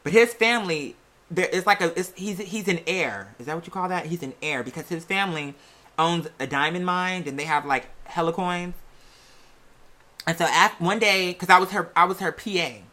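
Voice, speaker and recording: A podcast host chatting casually and sassily to listeners, with theatrical, drawn-out emphasis on key words. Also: medium pitch, sharp tone. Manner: sassy, chatty, addresses listeners as "girl" and "y'all". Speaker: show host and music artist. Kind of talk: casual conversational monologue to listeners.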